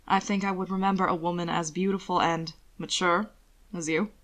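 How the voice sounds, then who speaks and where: A woman's voice: lowish voice, fairly even intonation, fairly quick, fairly bright tone, natural voice. A woman voicing a bored, taciturn immortal young man in a story, murmuring his curt lines. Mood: smoothly charming. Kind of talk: audiobook character acting.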